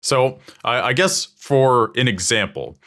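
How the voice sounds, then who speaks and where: A man's voice: medium pitch, expressive, measured pace, sharp tone. A man hosting a podcast, asking curious questions with hesitant restarts. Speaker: podcast host. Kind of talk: interview questions.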